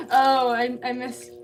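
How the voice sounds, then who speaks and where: A young woman from Southern California chatting casually and giggling as she reads viewer comments while she works clay. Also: sits high. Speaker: livestreamer. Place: home pottery studio. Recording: distant mic with music playing behind.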